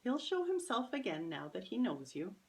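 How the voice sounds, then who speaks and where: A woman's voice: mid-range voice, very animated, normal speed, darkish tone. A woman voicing a kindly, whimsical old great-aunt soothing children in a storybook. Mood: gently encouraging.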